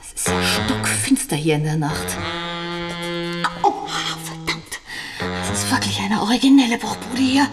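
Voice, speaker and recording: A woman's voice: low voice, lively intonation, unhurried, long pauses, over loud music. An actress playing an anxious, helpless widowed mother in a German radio crime drama, hesitant and plaintive. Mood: nervous, grumbling.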